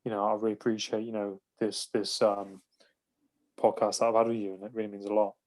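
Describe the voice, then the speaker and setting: A man's voice: lowish voice, level tone, fast talker, darkish tone. A man hosting a podcast, chatting casually and rambling with lots of fillers. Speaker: podcast host. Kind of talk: casual interview chat. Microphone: phone call.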